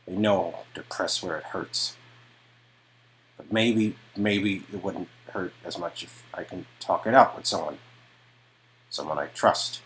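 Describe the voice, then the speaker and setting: Weary male detective, acted by a man, deep raspy voice, guarded and halting, story-game dialogue. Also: fairly bright tone, faint music behind, poor audio. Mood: weary, nonchalant. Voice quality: low and raspy.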